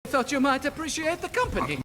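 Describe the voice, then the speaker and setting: An actor playing a suave enchanted household servant in film dialogue, earnest, persuasive and theatrical. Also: male voice, very high voice, fairly quick, sharp tone, slight background noise. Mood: nervous coaxing.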